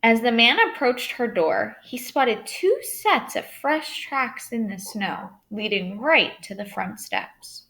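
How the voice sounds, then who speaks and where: A woman storyteller reads a children's tale aloud. Her voice is bright and very lively in pitch, and she leans on key words for dramatic effect. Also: slow pace, few pauses. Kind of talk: expressive children's storybook read-aloud narration.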